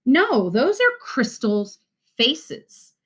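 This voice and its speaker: Woman reading as a patient, unhurried storybook dad. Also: sits high, big pitch swings, breathy edge, voice pushed up, full character voice. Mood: instructive. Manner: patient, instructive. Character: patient, kindly explaining father in a storybook.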